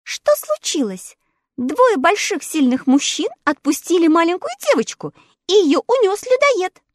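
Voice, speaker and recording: Woman doing a squeaky mocking squirrel. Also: very animated, unhurried, no echo, studio quality, exaggerated voice. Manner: squeaky, scolding, teasing. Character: mocking chattering female squirrel.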